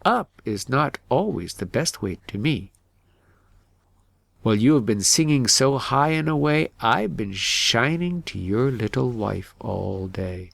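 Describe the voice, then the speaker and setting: A man voicing a regal, gently rebuking sun king in storybook verse. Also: mid-range voice, lively intonation, slow, vocal fry, dry recording, exaggerated voice. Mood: gently mocking.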